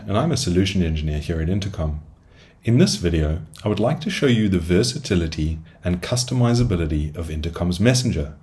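A man with a low voice delivers a scripted software tutorial. His pitch moves in lively swings, and his delivery is upbeat and salesy, like a presenter walking viewers through a product. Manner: upbeat, salesy phrasing with rhetorical questions. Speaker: solution engineer presenting a product demo.

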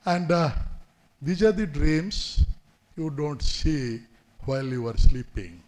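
A man's voice: on the high side, lively intonation, darkish tone. Man with giving formal address. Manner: measured, formal, pauses often with "uh". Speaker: guest speaker. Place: indoor university seminar hall. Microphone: podium microphone.

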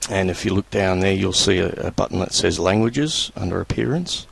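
A man presenting a screen-recorded computer tutorial, talking in a relaxed, halting way with many fillers. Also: low-pitched, gravelly, husky.

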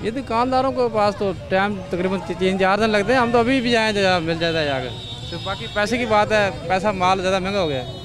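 A man's voice: sits high, fairly quick. A Pakistani man, a market trader, answering questions off the cuff in a street interview. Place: crowded outdoor street market. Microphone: handheld reporter microphone. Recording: loud market crowd, music bed.

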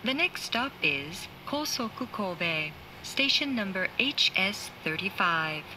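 A woman reading scripted train announcements in a formal, measured manner, as heard inside a carriage. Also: mid-range voice, very animated. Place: train carriage speaker, train ambience behind. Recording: faint train noise, recorded inside carriage.

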